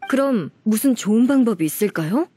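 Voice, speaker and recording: An actress playing an earnest, slightly flustered younger office colleague in a video game, speaking politely and respectfully. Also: female voice, a little high, lively intonation, brisk, dark tone, a little hiss or hum, close to an everyday voice. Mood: troubled. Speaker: game character voice actor. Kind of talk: video game story voice acting.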